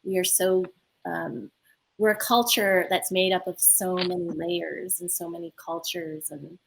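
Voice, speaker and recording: A woman filmmaker on a remote video call, speaking reflectively and haltingly with many fillers. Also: on the low side, steady tone, creaky, slight background noise, poor audio. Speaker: filmmaker panelist. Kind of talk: casual roundtable talk.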